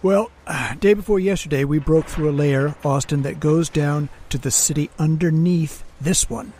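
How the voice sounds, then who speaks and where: A male actor plays a casual, friendly excavation boss in a radio drama. His voice sits a little high and he speaks plainly. The recording has some background noise. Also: normal speed, dark tone, close to an everyday voice. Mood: casual, welcoming. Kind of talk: old-time radio drama acting.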